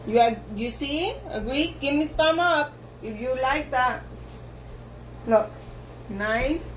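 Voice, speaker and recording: A woman hairstylist whose first language is Spanish, giving slow step-by-step instructions for a haircut, with lots of repetition. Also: high-pitched, slight background noise. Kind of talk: haircut tutorial narration. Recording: echoey room with faint ambience.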